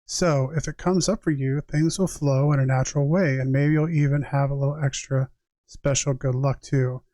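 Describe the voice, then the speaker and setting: A man's voice: mid-range voice, steady tone, normal speed, dark tone, no room sound. A man giving a tarot reading on a livestream, conversational and reflective, moving between reading aloud and chatting directly to viewers. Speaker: tarot reader and live streamer.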